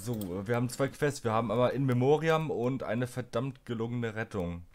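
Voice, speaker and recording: A man's voice: mid-range voice, normal speed. A young man chatting casually during a co-op video game, giving short, offhand reactions. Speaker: let's player. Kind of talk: casual co-op gaming chat.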